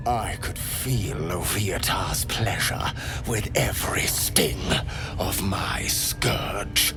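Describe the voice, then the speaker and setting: An actor playing a sadistic priest of a pain goddess in a game, an unctuous zealot who savours his words with theatrical, breathy reverence. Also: fairly low pitch. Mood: tender, gratified. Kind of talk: dramatic game character acting.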